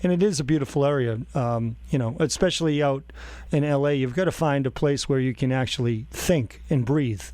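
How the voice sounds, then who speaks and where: A man's voice: mid-range voice, brisk, darkish tone, no echo. A man hosting a radio interview, asking long, rambling questions and laughing often. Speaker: radio host. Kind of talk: casual interview.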